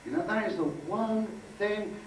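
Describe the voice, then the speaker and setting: A man giving an informal workshop talk, halting and conversational, repeating phrases for emphasis. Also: high-pitched. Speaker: workshop speaker and aura healer. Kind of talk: informal talk with demonstration and guided visualisation. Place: indoor room before a group. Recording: echoey room, distant mic, faint noise.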